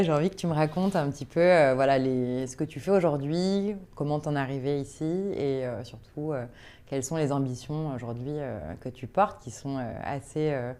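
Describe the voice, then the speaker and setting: A woman's voice: low-pitched, dark tone. A woman hosting an interview podcast in a casual, informal and encouraging conversational way.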